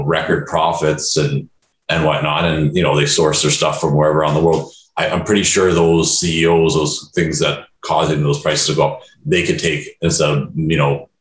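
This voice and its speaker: A man with an extremely deep voice gives his opinions in a casual focus group. He talks in halting bursts, padded with fillers and restarts. His voice comes over a video call from a noticeably echoey room. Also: a bit bright.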